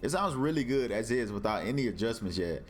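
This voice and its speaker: A man with a deep, low-set voice narrates a scripted gear review in a relaxed, friendly way, often calling his viewers y'all. He is recorded at home on a budget USB desk mic, with faint music underneath. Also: brisk. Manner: casual, calls the audience y'all. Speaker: tech reviewer. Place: home room with carpet and a PC. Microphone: budget USB condenser desk mic.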